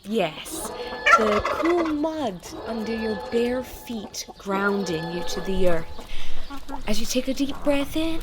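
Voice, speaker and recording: A woman's voice: fairly high pitch, background noise. A woman playing a chatty, sarcastic, easily flustered AI program in an audio drama, talking casually and stammering when rattled. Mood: forced calm. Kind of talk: audio drama monologue in casual second-person address.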